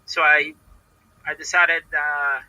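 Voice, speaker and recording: Halting Puerto Rican woman, bright tense voice. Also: low voice, flat delivery, no echo, rough recording. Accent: Puerto Rican Spanish. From Puerto Rico. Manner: hesitant, halting, many repeated words and fillers. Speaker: professional wrestler, podcast guest. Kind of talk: casual interview answers.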